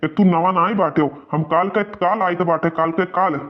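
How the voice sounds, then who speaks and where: A man's voice: high voice, steady tone, normal speed, lightly acted. A man voicing a quarrelsome, blustering village man in an Awadhi cartoon comedy, scolding in exaggerated dialogue. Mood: threatening, scornful. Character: blustering, quarrelsome village men of a feuding family. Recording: dull, lo-fi cartoon soundtrack.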